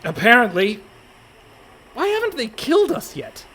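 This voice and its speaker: A man's voice: extremely high pitch, wildly swooping pitch, normal speed, sharp tone, exaggerated voice, rough recording. An actor playing an anxious, sarcastic younger brother, a reluctant con man, in a comic radio play. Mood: sarcastic, grumbling. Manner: sarcastic, fretful.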